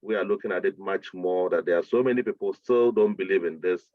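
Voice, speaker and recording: Ghanaian man, deep voice, tinny line. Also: steady tone, brisk, no echo. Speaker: meeting participant. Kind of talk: discussion contribution.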